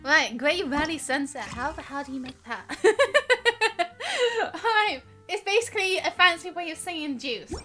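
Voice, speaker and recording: A woman chats casually as she streams a game. Her voice is high and bright, rising and falling in an animated, giggly ramble. Soft game music plays behind her. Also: measured pace. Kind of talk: casual live game commentary.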